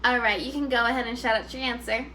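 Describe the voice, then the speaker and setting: A woman teacher reading a story aloud to first graders, expressive and instructive, pausing to put questions to them. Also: fairly high pitch, slight background noise.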